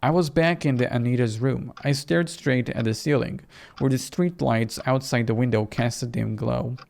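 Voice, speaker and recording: A narrator reading a first-person horror story dramatically, in a measured, suspenseful way. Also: medium pitch, creaky, a little mellow. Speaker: game narrator and reader.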